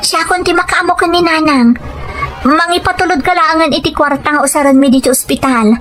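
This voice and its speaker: A woman's voice: sits high, brisk, fairly bright tone. A woman with a Filipino Ilocano accent playing a deceitful gambling wife in an audio drama, with music behind her. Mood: feigned worry. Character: deceitful gambling wife and dutiful-sounding daughter. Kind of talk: scripted audio drama acting. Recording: faint music bed behind voice.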